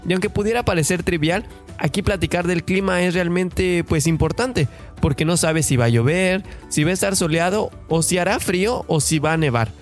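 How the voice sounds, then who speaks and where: A man's voice: sits high, normal speed. A man co-hosts a casual podcast. He explains things in a friendly, chatty way. Faint music plays under his voice. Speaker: podcast co-host.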